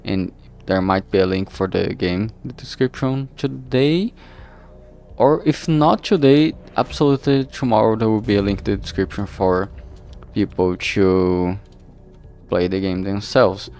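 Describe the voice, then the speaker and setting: A man livestreaming casually while he works, talking in a halting, distracted way that trails off mid-sentence. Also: fairly low pitch, vocal fry, darkish tone. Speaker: streamer and visual novel creator. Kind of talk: casual solo livestream chat while working. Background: background music.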